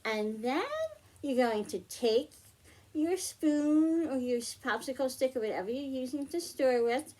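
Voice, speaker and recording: A woman's voice: sits high, unhurried, darkish tone. A woman hosting a children's science storytime, explaining a hands-on demonstration step by step in simple, patient phrasing. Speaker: children's librarian, storytime host.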